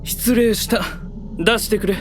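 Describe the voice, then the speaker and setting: An actor playing an aloof, blunt young knight with a curious mind, speaking in a terse, self-assured way in an anime-style audio drama. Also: male voice, high voice, fairly even intonation, unhurried, noisy background. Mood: brusque.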